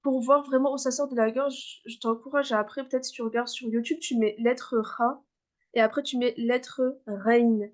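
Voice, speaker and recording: A fairly high-voiced woman teaches a beginner class over a video call. She is patient, says each sound again and again, and fills her pauses with small hesitations. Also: fairly even intonation. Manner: patient, repeats sounds many times, many fillers. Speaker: Arabic reading teacher.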